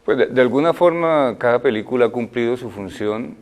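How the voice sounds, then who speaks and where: An older man, a film director answering interview questions in a thoughtful, halting way. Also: mid-range voice, expressive. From Colombia. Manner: thoughtful, halting, many fillers and restarts.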